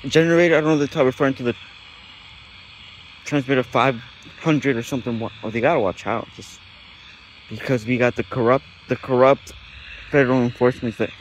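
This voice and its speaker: A man's voice: mid-range voice, brisk, pauses often, slight background noise, poor audio. A man giving casual, off-the-cuff commentary as a radio hobbyist, thinking aloud in a tentative way.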